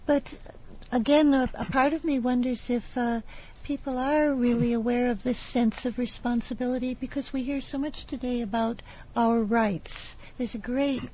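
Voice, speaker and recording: A woman's voice: fairly high pitch, steady tone, moderate pace, a little mellow, slight background noise. A woman speaking as a guest on a discussion panel, thoughtful and questioning. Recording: dull lo-fi broadcast recording.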